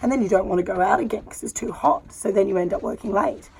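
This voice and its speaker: Hoarse Australian woman, voice nearly gone. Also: mid-range voice, quick pace, darkish tone, slight background noise, rough recording. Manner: candid and heartfelt, with many fillers. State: hoarse, losing her voice. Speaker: horse rider and vlogger. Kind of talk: casual vlog talk to camera. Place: outdoors in a horse paddock, sitting on the ground.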